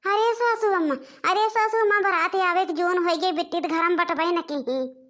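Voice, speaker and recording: A very high, bright young village sister-in-law, acted by a woman for a cartoon comedy. She is bossy and fretful, speaks with an Awadhi accent and repeats her calls insistently. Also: moderate pace. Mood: panicked. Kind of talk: animated family comedy dialogue in cartoon.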